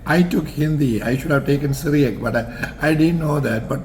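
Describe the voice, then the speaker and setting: An older man from Singapore, answering interview questions about his college years in a measured, formal way with frequent pauses and fillers. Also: a little high. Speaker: interview guest, alumnus.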